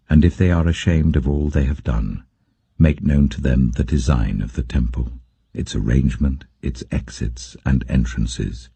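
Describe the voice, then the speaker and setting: A man voicing God, extremely deep and commanding. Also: gravelly, dark tone, breathy edge, no echo, full character voice. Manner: grave, slow, authoritative. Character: God speaking from the temple, solemn, commanding, stern.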